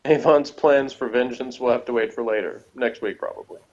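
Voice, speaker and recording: A man's voice: mid-range voice, a little fast, darkish tone, dull audio. An American man chatting casually and jokingly as he co-hosts a review, in a wry manner. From United States. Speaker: co-host of a TV review show. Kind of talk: casual jokey review chat.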